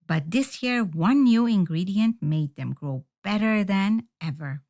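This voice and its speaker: Slow-paced woman reading a picture book. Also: mid-range voice. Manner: expressive, deliberate storytelling. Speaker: storyteller. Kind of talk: children's picture book read-aloud.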